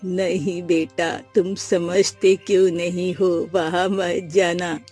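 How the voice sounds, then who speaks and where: A woman's voice: lowish voice, little pitch movement, slow pace, warm tone, slightly rough, faint music behind. A woman playing an anxious village mother in a melodramatic cartoon story, pleading emotionally with her grown son. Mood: worried, pleading.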